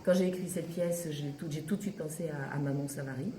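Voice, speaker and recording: A woman's voice: low-pitched, steady tone, brisk, warm tone, roomy sound, slight background noise. A woman, a playwright and actress, talking about her play in an interview with frequent hesitations. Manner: hesitant, many fillers, cheerful. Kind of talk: festival interview, casual chat.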